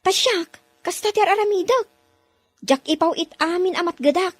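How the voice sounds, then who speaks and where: A woman's voice: very high voice, moderate pace, no echo, faint music behind. A woman playing a young domestic worker abroad in an audio drama, earnest and pleading with her employer. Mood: advising.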